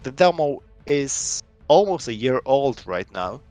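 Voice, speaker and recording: A young Polish man talks through his indie game on a live stream, English not his first language, heavy with fillers and restarts. His voice sits in an ordinary middle pitch for a man. Faint music plays softly in the background. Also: very animated, a little slow, no echo. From Poland. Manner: rambling, lots of fillers and restarts.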